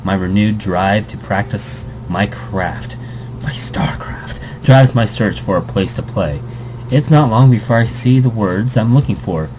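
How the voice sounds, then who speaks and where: A man's voice: fairly low pitch, measured pace. A man hosting a let's play, reading a visual novel aloud with commentary, tripping over words and restarting. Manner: stumbles and restarts words, chuckling asides. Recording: air conditioning hum behind.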